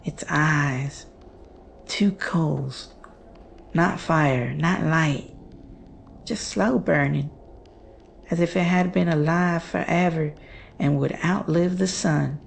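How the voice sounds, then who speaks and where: A haunted woman played by an actress tells a horror tale in a lowish voice with heavy fry. She moves slowly through short, hushed, halting phrases, over faint background noise. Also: heavily acted. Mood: haunted, dread. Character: Jane, haunted woman recounting her family's monster encounter. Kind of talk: slow scripted horror storytelling.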